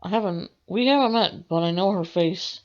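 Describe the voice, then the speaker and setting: A woman reading a visual novel aloud as a let's play, with a halting delivery that corrects itself. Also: fairly low pitch. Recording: dull lo-fi mic with music behind. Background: game music.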